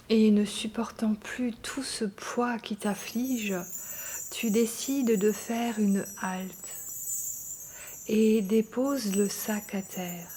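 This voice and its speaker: A woman guiding a yoga nidra meditation in a calm, soothing and gentle manner. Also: medium pitch, breathy edge. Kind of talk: guided meditation narration. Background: soft meditation music with chimes.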